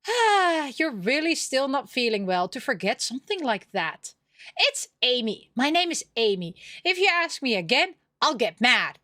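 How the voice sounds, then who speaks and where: A woman's voice: high voice, big pitch swings, moderate pace, bright, forceful tone, no echo, studio quality, full character voice. A woman voicing an optimistic, careless young female roommate in an emotive way for a visual novel. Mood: cheerfully pouting. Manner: emotive, scatterbrained.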